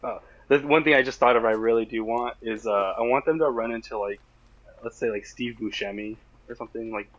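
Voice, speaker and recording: A man's voice: mid-range voice, fairly even intonation, fairly quick, fairly bright tone, muffled recording. Joking man on a casual podcast. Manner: jokey riffing, acts out imagined quotes. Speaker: podcast co-host.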